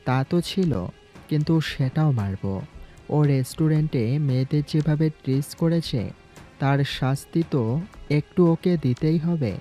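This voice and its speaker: Man acting a calm young gangster boss, mellow voice. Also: fairly high pitch, moderate pace, natural voice. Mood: coolly menacing. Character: young male gangster boss posing as waiter, coolly ruthless. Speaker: voice actor in an amateur audio story. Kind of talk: acted audio story dialogue. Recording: background music throughout.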